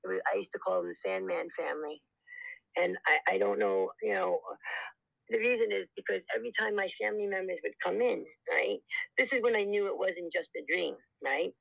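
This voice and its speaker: An older guest with a raspy voice, telling a story in a casual, rambling way with many restarts and frequent fillers. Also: mid-range voice, fairly quick, no echo. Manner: rambling, lots of restarts and "you know". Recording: dull lo-fi recording.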